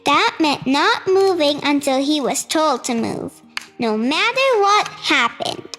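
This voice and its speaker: Very high, childlike storybook reader in reverb. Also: lively intonation, slow pace, fairly bright tone. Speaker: storytime host reading aloud. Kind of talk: read-aloud children's storybook narration. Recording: reverberant hall sound with music. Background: music.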